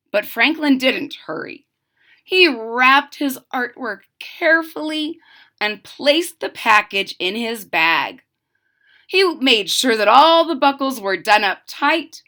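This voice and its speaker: A woman's voice: sits high, sharp tone. A woman reading a children's picture book aloud expressively, adding simple casual asides to explain things to young listeners. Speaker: storybook reader.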